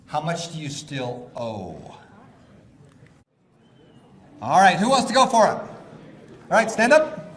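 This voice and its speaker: A man presenting a live seminar, joking with the audience and calling up volunteers in an energetic way. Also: a little high, slow pace, long pauses. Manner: energetic, joking, drives the crowd. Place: large hall with live audience. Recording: echoey hall with crowd noise.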